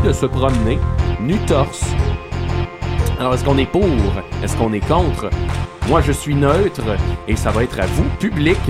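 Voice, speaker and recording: A man with a Québécois accent hosting a casual comedy debate, rambling with many hesitations. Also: medium pitch. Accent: Québécois French. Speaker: debate show host. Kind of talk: casual comedic radio-style show.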